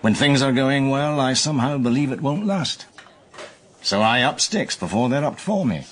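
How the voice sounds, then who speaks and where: A man's voice: mid-range voice, expressive, moderate pace, slight background noise, lightly acted. An actor playing a self-deprecating man from Glasgow in a radio drama, with a wry, rueful manner. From Glasgow, Scotland.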